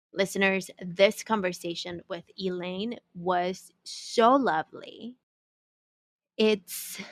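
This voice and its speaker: A woman's voice: mid-range voice, no echo. A woman hosting a podcast, chatting casually, searching for words and laughing easily. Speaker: podcast host. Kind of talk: casual interview chat.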